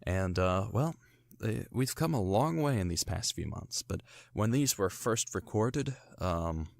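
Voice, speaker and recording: A male podcast host talks casually from a small soundproofed booth. His voice is low and creaky. The sound is very dry and close. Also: animated, unhurried, dry recording. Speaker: host of an audio drama podcast. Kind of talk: casual spoken introduction.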